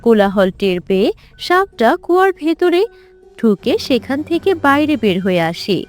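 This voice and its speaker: A woman's voice: on the high side, sing-song, normal speed, faint music behind. A woman narrator reading a scripted fairy tale for a cartoon.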